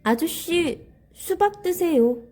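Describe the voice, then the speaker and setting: Woman voicing an eager high-pitched little kid. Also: lively intonation, slow, darkish tone, exaggerated voice. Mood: shy, friendly. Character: shy, eager little neighborhood child offering fruit.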